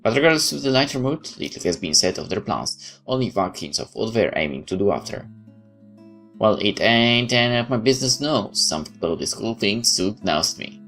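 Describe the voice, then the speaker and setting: A man's voice: lowish voice, big pitch swings, brisk, gravelly, a bit bright. A man doing a let's play, reading a game's story text aloud with casual asides. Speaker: game streamer reading aloud. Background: game music.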